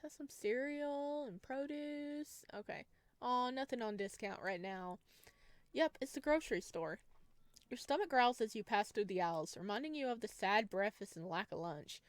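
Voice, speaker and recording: A woman reading a visual novel game aloud in a casual style, breaking into playful, expressive reactions. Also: a little high, normal speed, dry recording.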